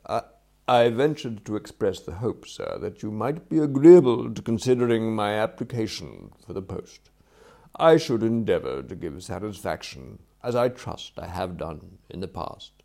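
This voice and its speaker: A man voicing a deferential, unflappable English valet in an audiobook, formal, measured and courteous. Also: on the low side, expressive, creaky, mellow. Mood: deferential, composed. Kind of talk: acted audiobook dialogue.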